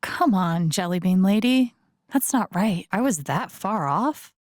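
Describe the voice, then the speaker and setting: A woman's voice: medium pitch, expressive, measured pace, a little mellow, dry recording, studio quality, close to an everyday voice. An actress playing an empathetic young woman in a video game, thinking aloud in a casual, wry, understated way. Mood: playfully suspicious. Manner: casual, wry, muttering to herself. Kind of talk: understated video game voice acting, interior monologue.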